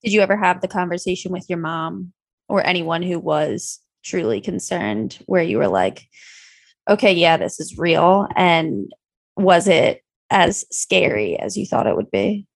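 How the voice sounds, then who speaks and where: Woman asking interview questions, lowish voice with fry, dry close sound. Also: expressive, unhurried, no echo.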